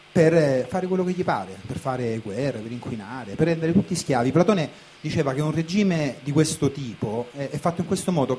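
A man in his late thirties delivering a conversational spoken theatre monologue, pausing and pressing on certain words. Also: on the high side, darkish tone, close to an everyday voice. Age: late 30s. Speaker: stage performer, writer. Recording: clearly noisy live recording.

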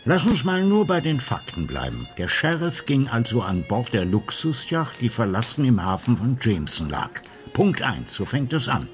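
Older detective type for a radio drama, analytical, melody moves a lot, bit of music under it. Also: male voice, medium pitch, wildly swooping pitch, normal speed, hardly any pauses. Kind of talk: radio crime drama acting.

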